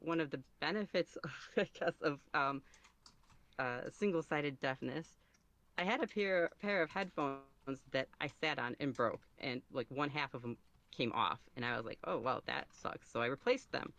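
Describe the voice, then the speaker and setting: A man's voice: fairly high pitch, moderate pace, bright, forceful tone. A man speaking casually as an interview guest, rambling and somewhat self-conscious as he goes. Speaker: podcast guest, ASMR roleplay creator.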